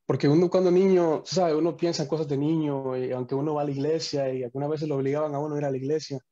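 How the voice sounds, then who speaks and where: A man's voice: fairly high pitch, fairly even intonation, fast, warm tone, dull audio. A young man from the Dominican Republic, a worship musician, talking earnestly over a video call and often restarting his sentences. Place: small home room.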